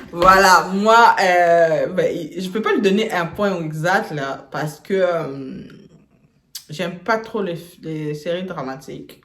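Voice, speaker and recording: A woman's voice: fairly low pitch, slow, fairly bright tone. Chatty woman from Congo, echoey room. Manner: chatty, repeats words, laughs often. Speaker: channel co-host. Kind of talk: casual quiz game between two people. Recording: echoey room with faint noise.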